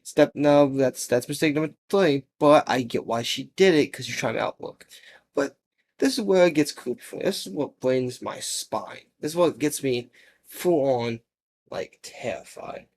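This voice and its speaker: Male commentator, casual and unscripted, mid-range voice, halting delivery with frequent rephrasing. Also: medium pitch, expressive, a little mellow. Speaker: video commentator. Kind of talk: casual horror video commentary.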